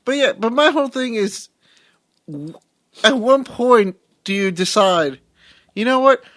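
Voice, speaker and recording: A man co-hosting a movie podcast who mostly agrees with his friend and adds his own points now and then. He has a fairly high voice that sounds dry and close, with no room echo. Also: lively intonation, measured pace, a bit bright. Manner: agreeable, chimes in with short backchannels. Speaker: podcast co-host. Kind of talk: casual movie review chat.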